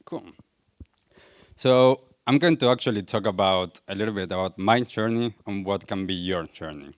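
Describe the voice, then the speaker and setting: A man's voice: on the low side, moderate pace, clear, resonant tone, no echo, clean studio sound. A young adult man from Argentina with an Argentine accent, giving an earnest talk to a live audience and asking them questions. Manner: earnest, asks the audience questions, light laughs. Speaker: wellness coach and speaker. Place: event venue in front of a live audience.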